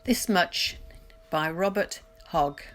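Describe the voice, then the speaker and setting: A woman reading poetry aloud in a measured, deliberate literary recitation, pausing between phrases. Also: fairly low pitch, a bit bright. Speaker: poetry reader. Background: music.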